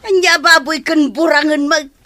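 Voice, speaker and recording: A woman playing an indignant village woman who scolds and exclaims in drawn-out phrases in a comedy radio drama. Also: high voice, fairly even intonation, bright, forceful tone.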